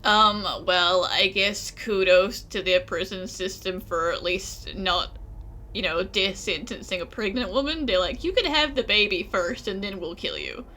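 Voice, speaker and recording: A woman's voice: medium pitch, a little slow, bright, forceful tone, slight background noise. A woman giving casual, chatty, joking commentary as she plays through a video game. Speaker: gaming video host.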